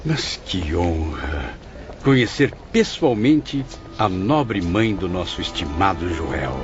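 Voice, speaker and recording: A man playing a reflective, courteous spirit who narrates his memoir in a formal, expressive audiobook drama style. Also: low-pitched, slow, exaggerated voice. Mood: courteous wonder. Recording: dull lo-fi audio under music.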